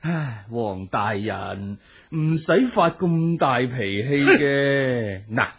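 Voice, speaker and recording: A man's voice: medium pitch, expressive, drawn-out words, a bit bright, breathy edge, dull audio, exaggerated voice. Actor as a drawling, smug rich nobleman. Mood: smug, mocking. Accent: Cantonese. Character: smug, boastful, very rich nobleman, coolly taunting his rival. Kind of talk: Cantonese audio drama acting.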